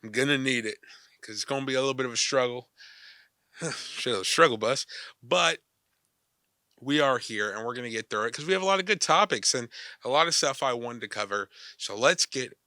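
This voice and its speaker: A young man hosting a casual sports podcast, chatty and energetic with loose slang, his voice a little stuffy from a cold. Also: mid-range voice, brisk, no room sound, studio quality. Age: young adult. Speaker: podcast host.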